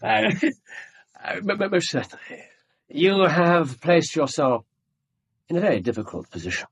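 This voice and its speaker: This is a shrewd British gentleman detective in a radio play. His voice is husky and leaps around in pitch, with precise, theatrical phrasing and cool, dry irony. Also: very animated, moderate pace, heavily acted. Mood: wryly amused. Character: shrewd, coolly incisive gentleman detective, faintly amused. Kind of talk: British radio drama acting.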